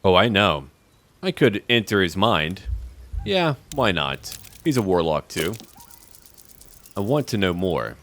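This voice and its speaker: A man's voice: low voice, very animated, takes it slowly, pauses often, a bit bright. A man hosting a roleplay video game playthrough, commenting and talking to the characters in first person. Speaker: gaming video host. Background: game music.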